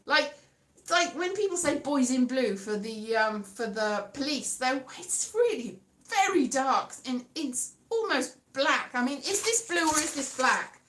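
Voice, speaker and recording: High, bright Welsh woman rambling. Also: very animated, unhurried, slight background noise. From Wales. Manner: meandering, self-correcting, breaks into playful sing-song. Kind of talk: rambling casual chat. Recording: echoey room with faint guitar music.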